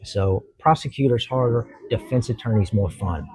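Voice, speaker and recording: A middle-aged man with a Kentucky accent, a judge talking earnestly as a podcast guest, pausing often and restarting his sentences. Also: medium pitch, darkish tone. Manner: earnest, halting, many fillers and restarts. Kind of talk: casual interview talk.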